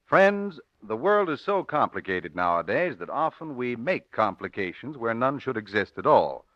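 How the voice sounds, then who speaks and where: An American man giving an earnest, explanatory scripted advice pitch on 1940s radio. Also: very animated, normal speed, no echo. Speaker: home heating expert in sponsor's advert. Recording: dry, dull old radio broadcast.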